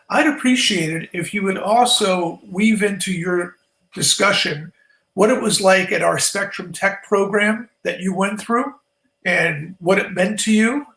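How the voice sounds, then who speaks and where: High, creaky-voiced man in echoey room. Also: fairly bright tone. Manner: appreciative, encouraging. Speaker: panel co-host. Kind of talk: moderating a panel discussion. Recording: roomy, echoing sound.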